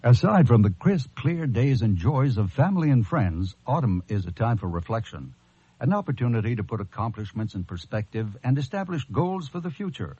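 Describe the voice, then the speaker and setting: A man's voice: mid-range voice, sing-song, moderate pace, dark tone, husky. A man reading a scripted public service announcement in an earnest, composed way. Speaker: charity announcement narrator. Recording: dull, dry radio broadcast.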